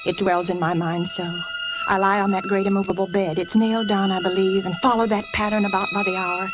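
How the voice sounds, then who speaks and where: An actress playing a nervous, fragile young wife sinking into madness, intense and confiding in the style of old American radio suspense. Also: female voice, mid-range voice, steady tone, brisk, highs cut off, music in the background, lightly acted. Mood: haunted, confiding. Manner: intense, anguished, confiding, dramatic. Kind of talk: 1940s-50s American radio suspense acting.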